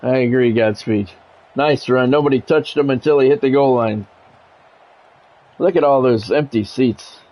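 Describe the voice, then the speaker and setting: A man's voice: medium pitch, moderate pace, a little mellow, low-quality recording. A man streaming a sports game, mixing radio-style play-by-play with casual chat.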